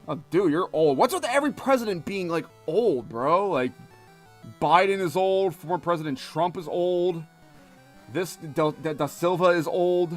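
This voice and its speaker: A young man live-streaming a game, rambling casually and joking with his chat. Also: high-pitched. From United States. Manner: rambling, talkative, many fillers, reads chat aloud and jokes. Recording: music playing behind.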